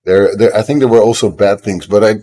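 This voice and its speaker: Dutch man, 30s, deep voice; rambling, self-deprecating indie dev streaming from his home desk, slight cough. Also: steady tone, fairly quick, mellow. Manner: rambling, self-deprecating, frequent fillers and restarts. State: coughing now and then. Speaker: game developer streamer. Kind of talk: casual live-stream chat.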